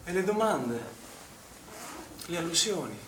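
Accused young swimming instructor, defensive n indignant, high voice, echoey stage play. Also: male voice, very animated, a little slow, a bit bright, distant mic, a little hiss or hum, full character voice. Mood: indignant, agitated. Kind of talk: naturalistic stage drama acting.

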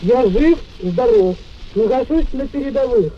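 Very high-voiced soldier on crackly old recording. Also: male voice, expressive, measured pace. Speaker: soldier recording a letter home. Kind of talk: declaimed spoken letter. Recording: dull, faintly noisy wartime field recording.

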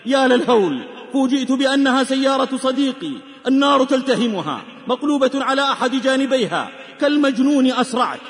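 A man's voice: very high-pitched, expressive, quick pace. A man preaching in a solemn, emotive, declamatory manner, telling stories and exhorting listeners in rhymed phrases. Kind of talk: dramatic sermon with storytelling, rhymed exhortations and verse. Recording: long reverberant hall-like echo on voice.

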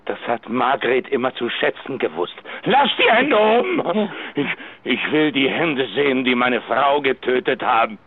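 A man acting in a German radio drama as an armed, jealous, grieving husband, theatrical, moving between soft mockery and threats. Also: mid-range voice, sing-song, a little slow, narrow, tinny audio. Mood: menacing mockery.

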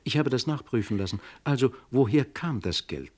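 An actor playing an insistent, probing police inspector in a German radio drama, commanding in manner. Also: male voice, mid-range voice, animated, normal speed, lightly acted. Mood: pressing, accusing.

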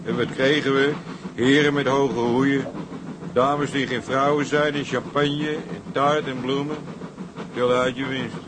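Earthy, bantering sailor played by a man, mid-pitched, radio play, clear rumbling noise behind. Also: level tone, measured pace, lightly acted. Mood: grumbling, wistful. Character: lusty, grumbling, earthy sailor heading home. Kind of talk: radio drama acting. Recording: clear train rumble and hiss.

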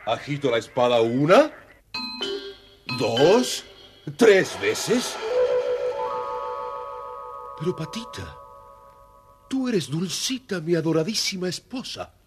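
A man's voice: a little high, sing-song, takes it slowly, pauses often, music in the background. An actor playing a kindly, adoring king, theatrical and courtly, in a dramatized children's story record. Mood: solemn, then astonished.

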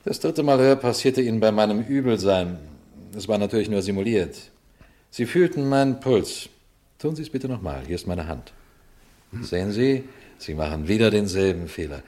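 Man playing composed radio-play detective, lowish voice, measured, dry, politely superior, shrewd. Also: animated, warm tone, natural voice. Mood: dryly instructive. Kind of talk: 1960 German radio crime drama acting. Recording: vintage 1960 radio recording.

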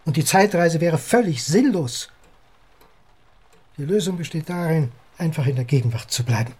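Eccentric male time-travel theorist for radio drama: raspy, higher-pitched, smug, insistent, impatient with slower minds. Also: animated, darkish tone. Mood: smug, lecturing. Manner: lecturing, condescending, insistent. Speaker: actor in a radio play. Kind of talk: German radio drama dialogue.

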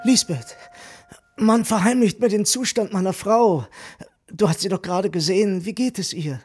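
An actor playing an anxious, gullible husband in a radio drama, with a husky voice and a worried manner. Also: male voice, high voice, normal speed, darkish tone, faint music behind. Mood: worried, relieved.

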